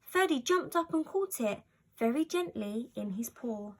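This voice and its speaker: A woman narrating a children's story from a script in an expressive storytelling style. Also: fairly high pitch, unhurried. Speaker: storybook reader.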